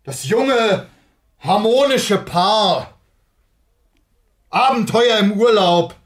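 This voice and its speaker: A down-to-earth young husband in a radio drama. His voice sits fairly high, and he talks slowly and haltingly, blunt and defensive with an irritable edge. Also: expressive, exaggerated voice. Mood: irritated. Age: young adult. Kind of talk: German radio play acting.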